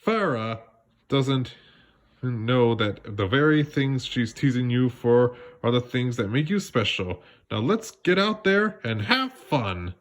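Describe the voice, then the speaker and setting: A man puts on a young storybook princess, an earnest team captain. The delivery is animated and swings in pitch, with a determined, encouraging manner. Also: slow pace.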